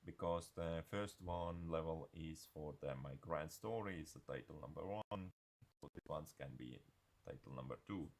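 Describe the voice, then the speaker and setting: A man giving an unscripted software screencast tutorial in a halting, hesitant way. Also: rumbling low, vocal fry.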